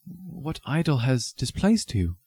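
A man's voice: on the high side, big pitch swings, takes it slowly, vocal fry, darkish tone, slightly rough, dry recording, full character voice. A man playing a proud, ambitious young lover in a radio drama, formal, defensive and argumentative. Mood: stiff, resigned. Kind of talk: theatrical radio drama acting.

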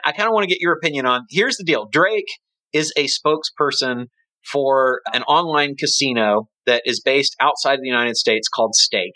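A man's voice: medium pitch, pushed and bright, no echo, poor audio. A middle-aged man co-hosting a casual business podcast, rambling and often restarting his sentences. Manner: rambling, self-correcting. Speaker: podcast co-host.

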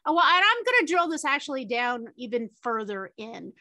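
A woman's voice: sits high, big pitch swings, fairly quick, sharp tone, no echo, clean studio sound. A woman moderating a panel discussion, chatty, with many fillers and tag questions.

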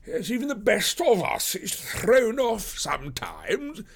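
A flustered, downcast police detective from a classic storybook. The voice sits high and swings widely in pitch. He draws out his words in an eager, deferential and confidential way, with formal politeness. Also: big pitch swings, vocal fry, slightly rough, heavily acted. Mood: satisfied, eager. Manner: confidential, slow, drawn-out, formal address. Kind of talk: dramatised audiobook character voice.

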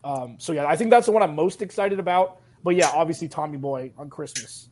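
A man's voice: fairly high pitch, fairly quick, darkish tone, slight background noise. A man chatting casually about sports as a podcast guest, rambling with lots of "like" and "um".

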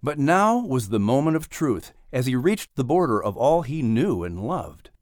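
Animated male storybook narrator, close and dry. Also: mid-range voice, normal speed, dry recording. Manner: expressive, dramatic. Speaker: audiobook narrator. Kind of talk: scripted children's story narration.

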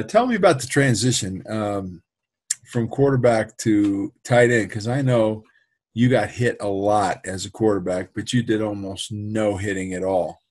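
A middle-aged man with a raspy voice who coaches quarterbacks, interviewing a former player in a casual, teasing way. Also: on the low side, a little mellow. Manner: teasing, chuckling between lines. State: raspy voice from playing golf.

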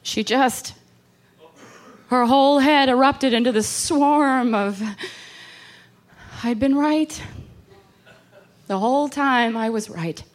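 A distraught young woman in a horror radio drama. Her voice sits high, and she speaks with raw, pleading intensity, convinced that something terrible is happening. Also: slow pace, pauses often. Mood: shaken, haunted. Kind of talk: horror radio play acting.

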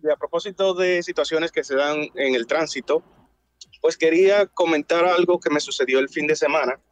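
A man's voice: fairly high pitch, normal speed, dry recording. A man with a Dominican accent calling a radio show over an online audio connection, telling an anecdote casually. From Dominican Republic. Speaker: radio caller.

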